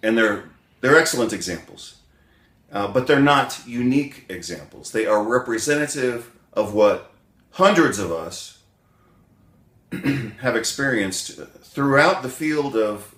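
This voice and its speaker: A man's voice: mid-range voice, a bit bright, roomy sound. A man recovering from bronchitis, a little wheezy, talking casually to his viewers in a rambling way, with many fillers and self-corrections. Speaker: chiropractic doctor and essay author. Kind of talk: casual spoken vlog, self-recorded.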